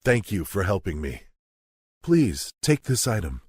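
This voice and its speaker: An actor voicing a frightened, humble farmer in a fantasy video game. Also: male voice, sits low, sing-song, unhurried, a little mellow, breathy edge, no room sound, studio quality, exaggerated voice. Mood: grateful. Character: frightened humble farmer begging rescue from bandits.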